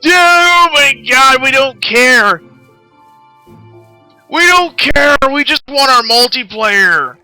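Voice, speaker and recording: A man voicing a whiny, impatient young male gamer who is loudly exasperated and scornful, in a mocking retelling on a stream. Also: extremely high pitch, animated, takes it slowly, smooth, dry recording, faint music behind, pitched above the performer's own voice, exaggerated voice, low-quality recording.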